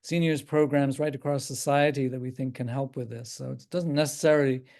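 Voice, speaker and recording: An older man with an Irish accent, a psychiatrist speaking as a guest on a video call, thoughtful and halting with frequent restarts. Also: mid-range voice, fairly even intonation, creaky. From Ireland. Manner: thoughtful, halting with many restarts and soft laughs. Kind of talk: casual talk on a video call.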